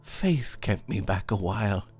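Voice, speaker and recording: A man performs a pious young Puritan husband in a classic storybook. He is anxious and hesitant but earnest, with archaic formal speech. The delivery is slow, with very lively swings in pitch. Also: soft background music. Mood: nervous. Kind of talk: dramatised audiobook reading of a classic tale.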